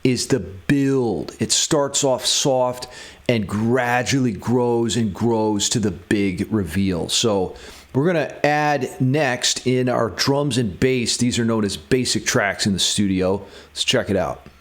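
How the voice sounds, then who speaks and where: A man's voice: mid-range voice, slow. A man giving a casual guitar theory tutorial, thinking aloud and enthusiastic about the material as he explains it. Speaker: guitar music theory teacher.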